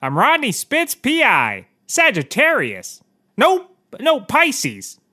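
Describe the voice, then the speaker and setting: An actor playing a bumbling, cheap private eye, stammering and second-guessing himself in a scripted comedy. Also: male voice, very high voice, wildly swooping pitch, takes it slowly, pushed and bright, full character voice. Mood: flustered. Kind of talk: scripted audio comedy courtroom acting.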